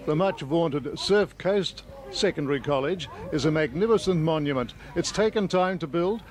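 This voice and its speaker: An Australian man reporting on location and interviewing in an effusive, conversational manner. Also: a little high. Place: outdoors at a school site. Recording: outdoor location with faint ambient noise.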